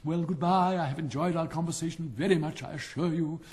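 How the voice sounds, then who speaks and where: A man's voice: fairly high pitch, fairly quick, a little mellow, pitched above the performer's own voice, natural voice. A man reading aloud and voicing a boastful, endlessly chatty mother frog who gushes and laughs as she talks. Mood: gushing. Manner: gushing, chattering, laughing between phrases.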